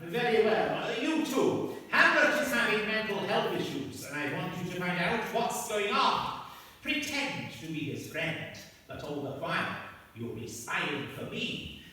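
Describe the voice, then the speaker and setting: A man's voice: high-pitched, very animated, a little slow, sharp tone, distant mic, heavily acted. A man playing a bossy, scheming king in an amateur comic radio play, recorded in an echoey hall from afar. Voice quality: theatrical, bossy.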